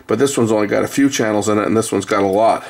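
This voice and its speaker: A man's voice: lowish voice, rapid-fire, creaky. A middle-aged man giving a casual, conversational hands-on repair commentary, explaining as he works at an indoor bench. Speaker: repair video host. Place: indoor workbench. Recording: noisy room recording.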